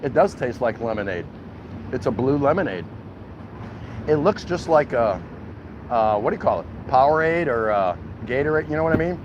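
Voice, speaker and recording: A man's voice: medium pitch, lively intonation, normal speed, long pauses, muffled recording, noisy background. An American man chatting casually and jokily to his viewers as a folksy vlog host, recorded outdoors. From Milwaukee, United States. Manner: folksy, colloquial, jokey. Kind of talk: casual livestream chat to viewers. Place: outdoors at a city food truck.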